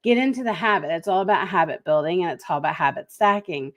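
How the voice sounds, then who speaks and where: A woman with a head cold hosting a solo talk show and giving practical tips in a relaxed, conversational way. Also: on the low side. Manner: conversational, lively, speeds up and slows down. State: head cold, slightly congested. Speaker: podcast host.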